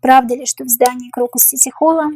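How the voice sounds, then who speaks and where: A woman doing a tarot reading, repeating each question slowly and musing aloud over the cards, her voice tiring and going hoarse. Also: high voice, steady tone, music in the background. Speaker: tarot reader.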